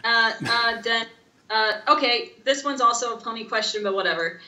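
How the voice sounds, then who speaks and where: Bright, high-voiced woman in a roomy space. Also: normal speed. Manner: blunt, sardonic, animated. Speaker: podcast co-host. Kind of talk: casual podcast chat.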